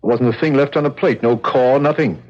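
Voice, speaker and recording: Guy as puzzled prison guard in old radio drama, impatient n plain-spoken, average pitch, dull sound. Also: normal speed, natural voice. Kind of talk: 1970s radio drama acting. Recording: dull vintage radio recording.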